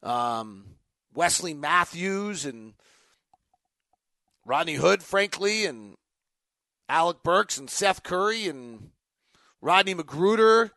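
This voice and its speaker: High-voiced man talking sports off the cuff. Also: animated, takes it slowly, long pauses, bright, forceful tone, no room sound. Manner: thinking aloud, emphatic, choppy fragmented phrasing. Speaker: sports podcast host. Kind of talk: unscripted basketball talk, answering fan questions.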